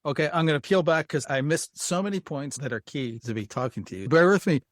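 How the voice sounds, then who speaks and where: A man's voice: a little high, expressive, fairly quick, no room sound, clean studio sound. A man hosting a casual interview, asking questions in an informal, encouraging way. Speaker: podcast host, interviewer.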